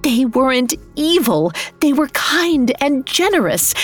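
A woman voicing a wronged young woman in a dark fairy tale, earnest and defiant, pleading with emphasis. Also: high-pitched, sing-song, slow pace, slightly rough, soft background music, pitched above the performer's own voice, full character voice.

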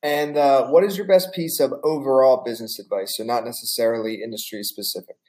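A man hosts an interview show, reading prepared questions in a relaxed, easygoing way. His voice sits at an ordinary middle pitch and he keeps calling his guest "man". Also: a little fast. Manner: casual, says "man" a lot. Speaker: podcast host.

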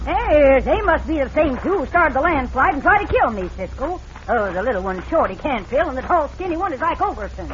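A woman's voice: sits high, big pitch swings, a little fast, few pauses. An actress playing a feisty, folksy old frontier woman in a western radio drama, with a rustic American West accent and exclamatory slang. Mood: wary. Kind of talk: 1940s-50s western radio acting. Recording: noisy, narrow old radio recording.

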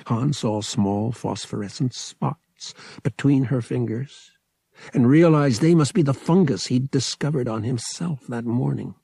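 A man's voice: medium pitch, a little slow, vocal fry, dark tone, slightly rough, dry recording. A man reading a story aloud as an audiobook narrator, in a dramatic scripted style.

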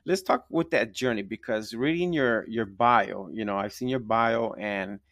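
A man's voice: fairly low pitch, unhurried, a bit bright, no echo, clean studio sound. A man hosting a live interview podcast, speaking enthusiastically and emphatically, repeating himself and checking in with tag questions. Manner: repetitive, emphatic, often tags phrases with "right?". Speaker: podcast host.

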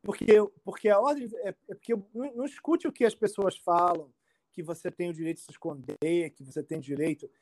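A man's voice: high voice, no echo. Brazilian man explaining, close dry sound. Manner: halting, repetitive, checks understanding often. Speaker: immigration expert being interviewed. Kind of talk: informal explanatory interview answers.

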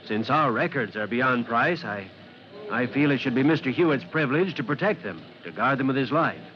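A man's voice: medium pitch, lively intonation, normal speed, lightly acted. An actor playing a pompous, fussy company man, officious and rigidly devoted to procedure, in an old-time radio drama. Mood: officious, insistent. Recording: muffled, noisy old radio drama recording.

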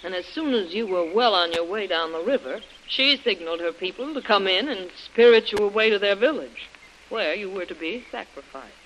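A woman playing a sharp-witted female adventurer in a vintage radio drama, brisk and assertive. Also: mid-range voice, animated, natural voice. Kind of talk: 1940s radio drama acting. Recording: noisy old radio broadcast recording.

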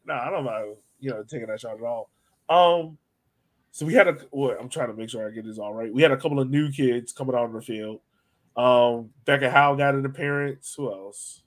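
A man with a mid-pitched voice hosts a casual sports podcast. He talks like a fan among friends, working out his thoughts aloud. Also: a little fast.

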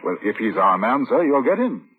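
An actor playing a patient, methodical British chief inspector in an old radio drama, polite, measured and dryly probing. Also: male voice, mid-range voice, very animated, phone-quality sound, close to an everyday voice. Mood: deferential, dry. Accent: British English. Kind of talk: old radio drama acting and first-person narration. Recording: thin, noisy old radio recording.

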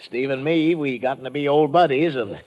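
An actor playing a boisterous, naive American tourist in an old-time radio drama, effusive and folksy, often repeating himself. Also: male voice, medium pitch, moderate pace, lo-fi sound, close to an everyday voice. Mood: eager.

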